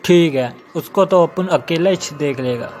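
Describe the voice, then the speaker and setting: A man acts out a commanding male figure from a kids' horror tale. He speaks slowly and theatrically, pitched a little high. Music plays clearly behind him. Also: a little mellow, natural voice. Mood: bossy. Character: exorcist priest chanting mantras, and scheming gang boss. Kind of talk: dramatized Hindi kids' horror story.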